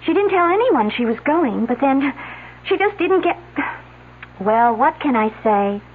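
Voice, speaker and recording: An actress playing a bright young female college student, quick and a little flustered. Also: high voice, sing-song. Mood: eager. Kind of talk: 1970s radio drama acting. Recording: tinny old radio broadcast.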